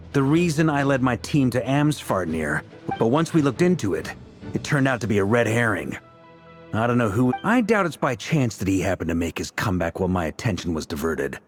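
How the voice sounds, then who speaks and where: A man playing a confident, laid-back military leader in a video game, speaking scripted lines in a measured, reassuring and deliberate way. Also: medium pitch, natural voice.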